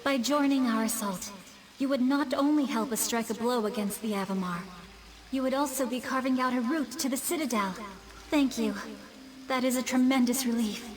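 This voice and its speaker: A woman playing a composed, diplomatic female leader in a video game, speaking formally and in a measured manner. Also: on the high side, close to an everyday voice. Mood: apologetic, relieved. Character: composed, diplomatic female leader preparing her people for war. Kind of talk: video game character acting. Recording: game music behind.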